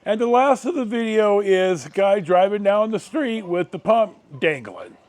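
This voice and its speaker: A middle-aged American man hosting a casual storytime, rambling along in halting, unhurried, drawn-out phrases. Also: high voice, darkish tone. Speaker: video host.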